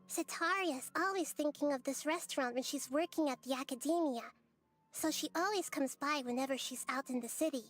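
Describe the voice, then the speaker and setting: A woman voicing a small, kindly, dutiful girl guardian in a game, gentle and composed. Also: high voice, brisk. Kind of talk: scripted game character dialogue. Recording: faint music behind.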